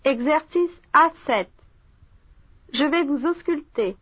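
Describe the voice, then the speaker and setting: A woman with a high voice reads short drill prompts and exercise numbers in a brisk, crisp manner. The recording is muffled and lo-fi, like an old language course. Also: expressive. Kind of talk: scripted language drill cues. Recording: dull lo-fi recording.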